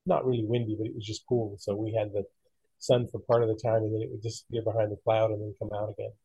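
A man in casual conversation, low-key and agreeable as he talks. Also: level tone, fast talker, gravelly, warm tone, breathy edge, dry recording, low-quality recording. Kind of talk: casual chat.

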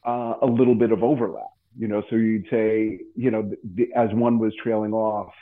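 A man with a lowish voice talks casually, as on a radio chat show. He is halting and circles back over his words. The sound is narrow and phone-like. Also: fairly even intonation. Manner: halting, repeats himself.

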